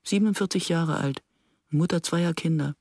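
A woman's voice: deep voice, moderate pace, a little mellow, slightly rough. An actress playing a guarded, reflective woman in her early fifties who narrates and converses soberly in a German radio drama. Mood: somber, remembering. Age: middle-aged.

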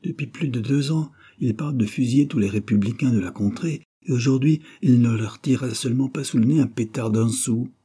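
A man's voice: mid-range voice, brisk, few pauses, mellow, breathy edge, pitched above the performer's own voice, lightly acted. A man voicing a brusque, impatient militia commander in a storybook, blustering with exasperation. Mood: exasperated.

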